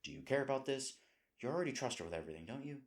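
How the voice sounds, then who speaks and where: A man's voice: medium pitch, big pitch swings, racing. A man playing a sweet, flustered, self-deprecating boyfriend in an intimate roleplay audio, hesitant and rambling as he corrects himself. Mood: anxious self-talk.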